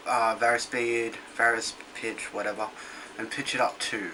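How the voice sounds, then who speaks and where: A man's voice: medium pitch, little pitch movement, normal speed. A man giving a casual, hands-on tutorial in an offhand, loose and informal way. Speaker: tutorial presenter. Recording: noisy home recording with clicks.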